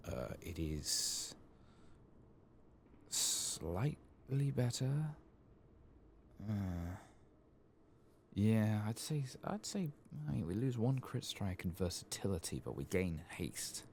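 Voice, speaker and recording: A man hosting a soft-spoken, whispered ASMR game playthrough, murmuring his thoughts aloud in a relaxed, unscripted way. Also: fairly low pitch, slow, pauses often, a little hiss or hum. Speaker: gaming video host.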